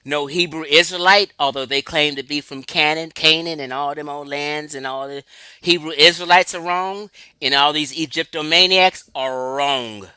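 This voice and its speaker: A man's voice: fairly high pitch, fairly even intonation, moderate pace, pushed and bright, clear, resonant tone, dry recording. A man from Los Angeles giving an emphatic, lecturing livestream talk, answering his chat and laughing often. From Los Angeles, California. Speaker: livestream host.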